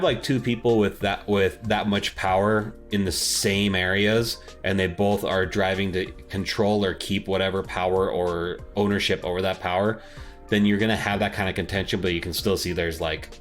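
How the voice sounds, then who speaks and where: A man's voice: deep voice, fairly even intonation, normal speed, fairly bright tone, soft background music. A man co-hosting a podcast, talking in an informal, enthusiastic way about films and games. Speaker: podcast co-host.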